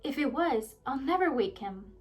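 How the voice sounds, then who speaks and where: A woman's voice: high-pitched, expressive, moderate pace, recorded from a distance, faint music behind. A woman voicing an eager, brave young girl heroine in a children's storybook. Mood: determined. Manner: eager, pleading.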